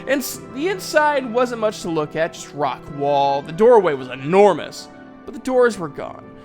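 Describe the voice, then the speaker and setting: A man's voice: high-pitched, very animated, a little slow, a little mellow, faint music behind. A man narrating a fantasy story in the first person, in a folksy, conversational storyteller's manner. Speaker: narrator, voice actor.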